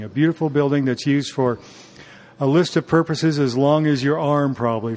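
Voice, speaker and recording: Measured man with a higher voice. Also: a little mellow. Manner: measured, clears throat, hesitant fillers. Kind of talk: casual interview conversation.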